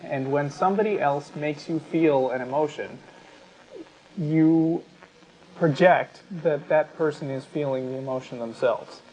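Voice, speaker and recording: A man co-hosting a talk show, explaining his points in a measured, analytical, conversational way. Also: a little high, darkish tone. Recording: slightly dull audio with faint noise.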